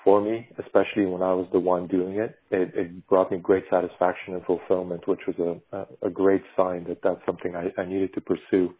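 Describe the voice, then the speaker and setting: A man's voice: low-pitched, little pitch movement, fairly quick, few pauses, slightly rough. A man from Bulgaria, a podcast guest who works in animation, talks in a thoughtful, searching way with many restarts. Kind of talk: reflective conversational interview. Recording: tinny phone-like remote call.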